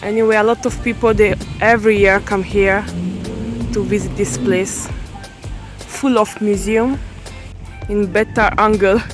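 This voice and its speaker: A woman vlogger chatting casually while walking outdoors through a city center. Her English is non-native and halting, with frequent restarts. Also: mid-range voice, steady tone. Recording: music playing under the voice. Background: background music.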